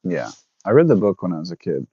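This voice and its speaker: A man's voice: low-pitched, sing-song, fast talker, gravelly, warm tone, slightly rough, no room sound. A man in his thirties chatting casually with viewers on a livestream, rambling and correcting himself as he goes. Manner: rambling, self-correcting, reads out chat. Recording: dull lo-fi dry sound.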